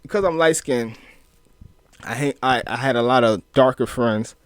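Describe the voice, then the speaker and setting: A man from the inner city who moved south, a guest talking in a loose, profane way with lots of fillers and lively retelling. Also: mid-range voice, moderate pace, clean tone. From US inner city, moved to Louisiana. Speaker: podcast guest. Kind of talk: casual chat.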